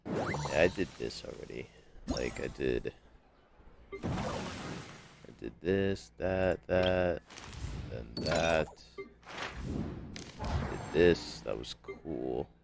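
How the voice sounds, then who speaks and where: A man streaming a video game with casual live commentary, muttering and halting as he repeats himself. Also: extremely low pitch, lively intonation, vocal fry, noisy background. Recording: game music behind the voice.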